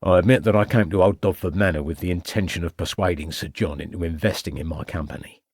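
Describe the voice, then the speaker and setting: A man's voice: low voice, fast talker, breathy edge, no echo, clean studio sound. A man voicing a cornered male con man who drops a fake French accent for a Cockney one, pleading and sly. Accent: fake French, then Cockney. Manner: pleading, rising to desperate shouts.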